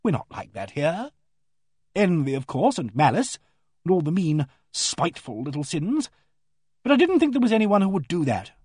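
An eccentric storybook vicar's wife, acted out by a man, pitched well above his own voice and swooping about. She is abrupt and emphatic, forthright and absent-minded at once, with a husky edge. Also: very animated, moderate pace, a little mellow, no echo, clean studio sound, full character voice. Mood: distressed, earnest. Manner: abrupt, emphatic, earnest yet vague.

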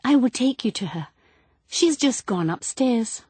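A woman voicing a soft-spoken, composed housekeeper in a storybook reading. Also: fairly high pitch, animated, unhurried, breathy edge, dry recording, pitched above the performer's own voice.

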